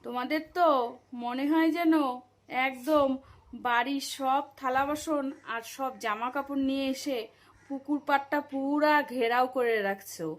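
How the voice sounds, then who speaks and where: A woman acts out a gossiping village auntie for a comedy cartoon. The voice is high, and the talk is colloquial, nosy and full of relish for neighbourhood scandal. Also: fairly even intonation. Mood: teasing, nosy. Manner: gossipy, drawn-out colloquial address.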